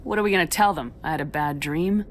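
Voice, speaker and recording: An actress playing a resolute, composed military commander in a video game, with controlled, direct delivery. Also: female voice, deep voice, fast talker, slight background noise, lightly acted. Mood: determined. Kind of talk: dramatic video game dialogue.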